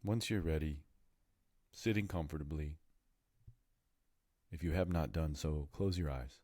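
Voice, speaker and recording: Very deep-voiced man guiding meditation. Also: warm tone, no echo, studio quality. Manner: soothing, measured, deliberate phrasing with pauses. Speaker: meditation guide. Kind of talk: guided meditation.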